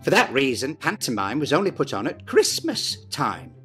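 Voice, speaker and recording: Middle-aged man, playful pantomime dame storyteller, huge pitch swings, faint music behind. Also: big pitch swings, moderate pace, fairly bright tone. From Britain. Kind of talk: scripted explainer narration. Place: small dressing room, indoors.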